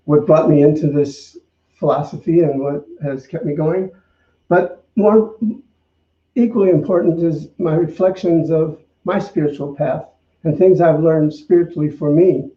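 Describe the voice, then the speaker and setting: A man giving an informal spiritual talk as a minister, conversational and reflective, pausing and feeling for his words. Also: on the high side. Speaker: minister, co-host. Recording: slightly dull sound, roomy echo.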